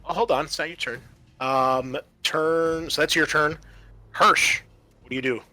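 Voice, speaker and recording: A man's voice: on the high side, fairly even intonation, a little slow, bright, forceful tone. A man acting as game master, running a tabletop roleplaying session for players.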